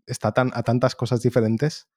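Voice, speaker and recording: A man's voice: mid-range voice, little pitch movement, a little fast, mellow, breathy edge. Opinionated man, stammering. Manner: opinionated, stammers on repeated words. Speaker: podcast guest. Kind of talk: casual tech podcast chat.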